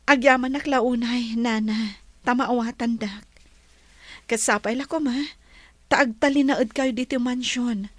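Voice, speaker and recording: A woman's voice: on the high side, steady tone, unhurried, no room sound. A woman playing a tender, emotional young lady of a mansion in a radio drama. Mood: soft apologetic.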